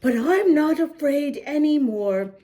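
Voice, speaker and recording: A playful young girl hippo from a picture book, voiced by a woman, kind and bubbly. Her voice is very high and lively but mellow, full of reassurance. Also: slow pace. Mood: reassuring.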